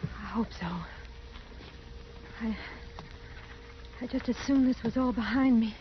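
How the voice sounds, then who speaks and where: A woman's voice: a little high, fairly even intonation, takes it slowly. An actress playing a meek, anxious, grateful frontier woman with humble rustic speech in a 1950s radio Western. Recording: noisy, dull vintage radio recording.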